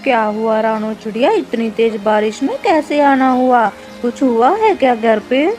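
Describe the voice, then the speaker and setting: Casting: woman playing kindly cautioning cartoon pigeon uncle, high pitch, concerned, soft music behind. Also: normal speed, close to an everyday voice. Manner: admonishing, concerned. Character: sensible, kindly but cautioning male pigeon neighbour. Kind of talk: children's cartoon story acting.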